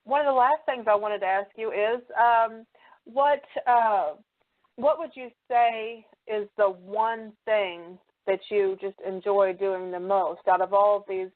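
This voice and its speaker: A woman hosting a casual interview, phrasing her questions in an informal, halting way. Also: medium pitch, steady tone. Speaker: show host, interviewer. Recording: muffled, narrow phone-like sound.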